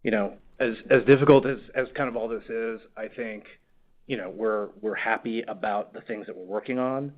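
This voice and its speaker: A man, a company executive, giving halting remarks on an earnings call, repeating words and saying "you know" often. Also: fairly low pitch, steady tone. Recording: muffled conference call audio.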